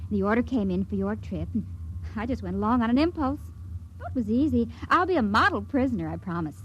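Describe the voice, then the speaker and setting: A woman's voice: on the high side, lively intonation, a little fast, natural voice. A woman playing a naive young stowaway. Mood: uneasy. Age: young adult. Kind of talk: 1950s radio drama acting. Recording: dull old radio recording with faint hiss.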